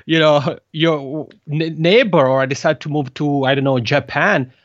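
A man's voice: on the high side, fairly even intonation, moderate pace, no echo. Italian-accented man, dry muffled sound. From Italian-speaking Switzerland. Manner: hesitant, repeats words while searching for phrasing. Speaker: podcast guest. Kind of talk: conversational interview.

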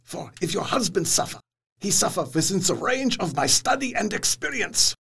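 Casting: effusive older foreign doctor for gothic audio drama; gravelly creak, raised pitch, florid and exuberant. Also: male voice, very animated, normal speed, a bit bright, full character voice. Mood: earnest, solemn.

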